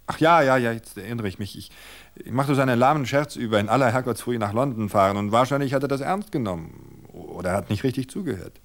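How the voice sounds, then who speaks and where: Male reader doing a jittery young wife, raised pitch, hesitant. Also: lively intonation, quick pace, natural voice. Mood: nervous. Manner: nervous, hesitant, stumbling restarts. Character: anxious young wife, restless and defensive.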